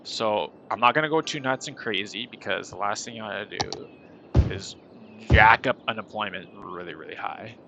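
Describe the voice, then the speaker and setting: Male streamer, stuffy and nasal from a cold, gravelly tone, chatty rambling delivery, noticeable background noise. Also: on the low side, moderate pace. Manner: rambling, chatty, self-deprecating. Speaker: gaming streamer. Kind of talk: casual gameplay commentary.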